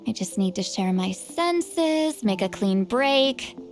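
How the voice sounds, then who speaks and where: A woman's voice: medium pitch, expressive, slow. A woman voicing a centuries-old shaman with a youthful voice, shy and easily flustered. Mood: relaxed. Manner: hesitant, stammering, fussy. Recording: game audio with faint music.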